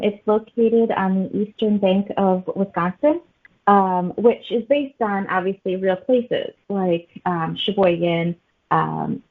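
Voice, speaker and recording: Woman author being interviewed, stops n starts a lot, muffled phone line sound, medium pitch. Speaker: author guest. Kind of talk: conversational interview answers. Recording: muffled phone-like narrow-band audio.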